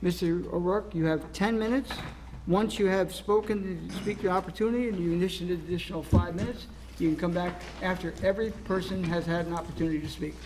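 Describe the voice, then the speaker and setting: High-voiced man chairing a town meeting. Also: steady tone, fairly quick, a little mellow, a little hiss or hum, rough recording. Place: town hall meeting room.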